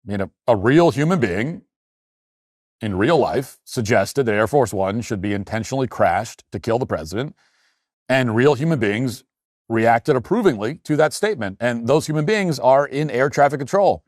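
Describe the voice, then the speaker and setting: A man's voice: mid-range voice, expressive, moderate pace, a little mellow, dry recording, clean studio sound. An American man hosting an opinion show, delivering an argumentative commentary monologue with emphatic points and rhetorical questions. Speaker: political commentary show host. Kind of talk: opinion commentary monologue, reading posts aloud.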